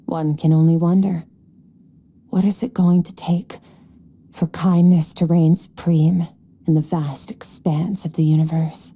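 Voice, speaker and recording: A woman voicing an aloof, solemn, ageless swordswoman in a video game, speaking in a measured, philosophical way. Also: sits low, husky. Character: aloof, solemn, centuries-old swordswoman who looks youthful. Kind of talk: video game cutscene voice acting. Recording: soft background music behind the voice.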